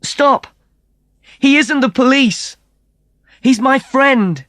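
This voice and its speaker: A man voicing a frightened young captive woman in a high, pleading voice for a storybook reading. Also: a little fast, slightly rough, no echo, clean studio sound.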